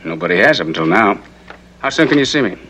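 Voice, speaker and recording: An actor playing a serious, methodical district attorney, measured and matter-of-fact, in a 1960s TV crime drama. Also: male voice, lowish voice, sing-song, pushed and bright, a little hiss or hum. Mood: grave. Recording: vintage TV soundtrack, faint music.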